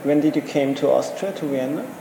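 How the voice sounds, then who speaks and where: A woman's voice: low-pitched, a little fast, creaky, reverberant, in a large hall, background noise. A woman interviewing, asking short questions and giving brief replies. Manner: short prompts and back-channel replies.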